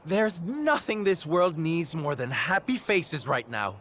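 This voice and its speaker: A male actor playing a flamboyant, warm and peppy troupe leader in a video game, camp, theatrical and exclamatory. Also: a little high, very animated, slow pace, a little hiss or hum, heavily acted. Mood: rousing, grand. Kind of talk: video game cutscene voice acting. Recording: background music under the voice. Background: orchestral game music.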